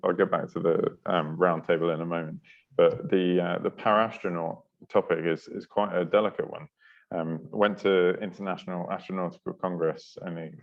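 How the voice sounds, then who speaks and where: Moderator, male, very low gravelly voice, hesitant, dull lo-fi call audio. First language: English. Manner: hesitant, filler-heavy, apologetic. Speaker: workshop moderator. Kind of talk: online workshop introductions.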